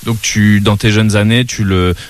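Podcast host guy, lowish voice, chatty questions with lots of euh. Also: steady tone, moderate pace, smooth. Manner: friendly questions with frequent "euh" fillers. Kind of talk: casual interview talk. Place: indoor room at an association's premises.

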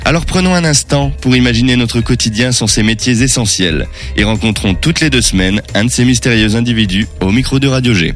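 A man presenting a radio show, reading a scripted introduction in a measured, friendly announcer manner before interviewing a guest. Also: mid-range voice, soft background music. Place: radio studio.

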